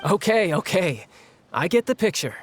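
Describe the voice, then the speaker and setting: A man's voice: sits high, very animated, moderate pace, slight background noise. An actor playing a breezy, cocky young male fighter in a video game, talking in a casual, teasing way.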